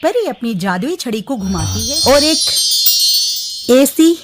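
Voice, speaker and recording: A woman's voice: high-pitched, big pitch swings, slow, over loud music. A woman storyteller narrating a children's bedtime cartoon tale.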